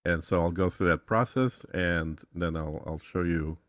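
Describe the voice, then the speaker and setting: Man, low voice, casual explainer, hesitant pauses, very dry recording. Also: a bit bright, no echo. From Texas, United States. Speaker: guest.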